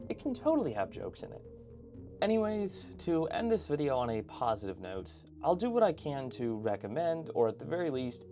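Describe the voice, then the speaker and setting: A man narrates an online video essay in a medium-pitched voice with clear background music underneath. He speaks as an opinionated host, keeping the scripted commentary informal and conversational with frequent asides.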